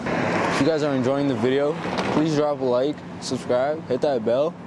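A man filming a playful prank vlog out in a busy crowd, teasing strangers loudly, cold and sniffly. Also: medium pitch, fairly even intonation, normal speed. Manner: loud, playful, teasing, swears casually. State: cold, runny nose. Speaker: prank vlogger. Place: outdoors in a busy city crowd. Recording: loud music and crowd noise outdoors. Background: loud music and crowd.

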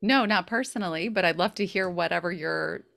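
Bright, affirming woman podcast co-host. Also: on the low side, a little fast, creaky. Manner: affirming, encouraging. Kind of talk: casual chat. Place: indoor room, on a video call. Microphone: desk microphone.

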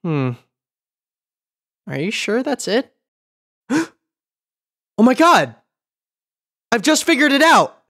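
Female voice actor, unhurried and theatrical, very animated pitch, improvised demo-reel acting. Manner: expressive, theatrical.

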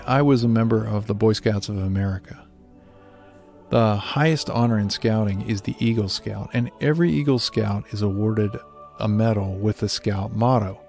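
A man's voice: on the low side, creaky, warm tone. A man reading a scripted devotional reflection. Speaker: devotional speaker.

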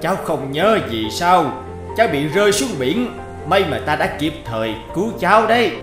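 A man voicing a kindly, protective grandfatherly fish in a cartoon fairy tale, slow and soothing. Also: on the high side, sing-song, reverberant, over loud music. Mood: calm, reassuring.

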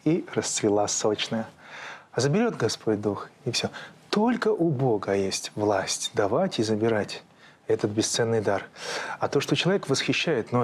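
A man in his forties, a priest, speaking in a studio with a husky voice and a solemn, deliberate, preaching delivery. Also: mid-range voice.

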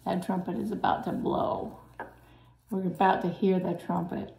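Raspy woman preaching in an echoey room. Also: lowish voice, little pitch movement, normal speed, mellow. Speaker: channel host preaching. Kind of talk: informal preaching to viewers.

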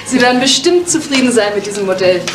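A woman's voice: on the high side, animated, quick pace, over loud music, lightly acted. An actress playing a polite, persuasive middle-aged saleswoman, businesslike and reassuring, in 1980s TV film style.

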